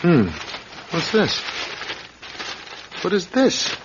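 An actor in an old radio crime drama playing a dogged police detective with an urgent, businesslike way of talking. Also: male voice, high-pitched, sing-song, very slow, exaggerated voice. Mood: purposeful. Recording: dull, hissy old radio recording.

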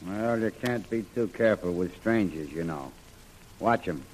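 An actor playing a stern, suspicious wharf boss who talks in curt commands in an old radio serial. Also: male voice, low-pitched, lively intonation, moderate pace, a little mellow, rough recording. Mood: suspicious, commanding. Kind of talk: 1940s radio serial acting. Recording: old radio recording, faint noise.

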